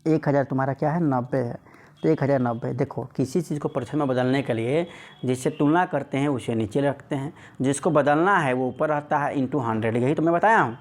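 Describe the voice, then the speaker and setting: A man's voice: medium pitch, fairly quick. A man teaching an informal exam lesson, repeating phrases and putting rhetorical questions to students. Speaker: maths exam coach.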